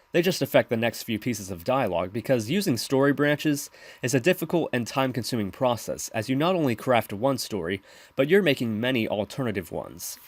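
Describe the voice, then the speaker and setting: A man hosting a software tutorial, explaining steps in scripted voice-over narration. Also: medium pitch, no room sound. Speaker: tutorial host.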